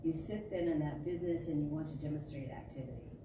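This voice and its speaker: A woman giving an emphatic, declarative reading of a spiritual sermon, with deliberate phrasing. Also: low-pitched. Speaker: storyteller reading a spiritual talk. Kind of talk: dramatic reading of a metaphysical sermon. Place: large indoor spiritual center room. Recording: echoey hall from far off, noisy.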